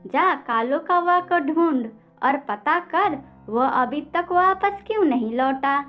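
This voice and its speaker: Woman as a very high-voiced fretful mother bird. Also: lively intonation, slow, muffled recording, soft background music. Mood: chiding. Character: concerned, chiding mother bird.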